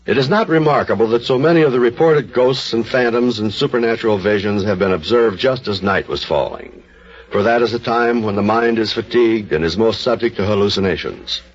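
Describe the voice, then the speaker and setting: A man giving formal scripted narration to introduce a tale in old radio style, with a husky voice. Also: fairly low pitch, brisk. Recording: muffled old radio recording.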